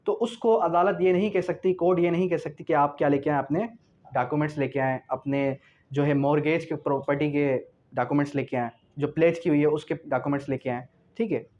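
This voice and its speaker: A man's voice: on the high side, steady tone, fairly quick, a little hiss or hum. A man giving an explanatory law lecture, didactic, posing questions and answering them himself, switching between read-out clauses and explanation. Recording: dull lo-fi sound.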